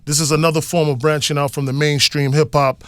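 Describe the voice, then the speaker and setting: A man's voice: fairly high pitch, level tone, fairly quick, clean tone, no room sound. A man from New York hosting a hip hop radio show, interviewing guests in the studio with upbeat, hype-style announcing. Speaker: radio host.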